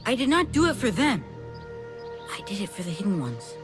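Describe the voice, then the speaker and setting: A woman's voice: mid-range voice, huge pitch swings, normal speed, music in the background. A woman voicing a bold, earnest young child who idolises heroes, in a video game cutscene. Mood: earnest conviction.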